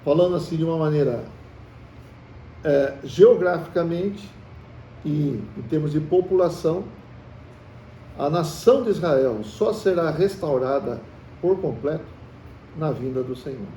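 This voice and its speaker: A man's voice: fairly high pitch, a little slow, pauses often, dark tone. A man with a Brazilian Portuguese accent leading a Bible study, talking in a conversational way, quizzing the group with questions and calling them brothers. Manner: calls the listeners brothers. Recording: echoey room, from far off, faint hiss.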